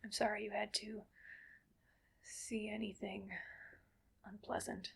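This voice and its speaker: A woman playing a gentle, weary cyborg soldier in a whispered ASMR roleplay, speaking breathily in slow, halting, soothing phrases. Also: mid-range voice, little pitch movement, vocal fry, breathy edge, exaggerated voice. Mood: gentle, reassuring.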